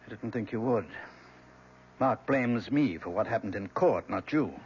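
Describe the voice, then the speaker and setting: Weary old professor, muffled radio recording. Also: male voice, lowish voice, lively intonation, darkish tone. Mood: grave, consoling. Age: older. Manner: hesitant, formal, measured. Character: aging male professor, evasive, weary, guarded old academic. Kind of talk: old-time radio drama acting. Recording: dull, noisy old radio broadcast.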